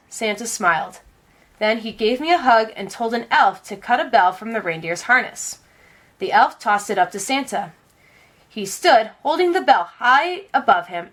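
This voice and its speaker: Female storybook reader, highish voice, very lively intonation, dramatic and deliberate. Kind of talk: expressive children's read-aloud narration.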